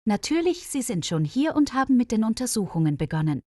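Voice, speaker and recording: Female narrator as worried female hospital worker, tired, earnest, audiobook dialogue, higher pitch, dry sound. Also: big pitch swings, a little fast, darkish tone, dry recording.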